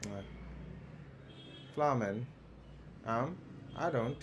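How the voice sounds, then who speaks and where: A man hosting a game livestream with casual commentary, halting and repeating himself as he thinks aloud. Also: mid-range voice, expressive, highs cut off, a little hiss or hum. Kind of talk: casual live gameplay commentary. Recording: music behind the voice. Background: clear background music.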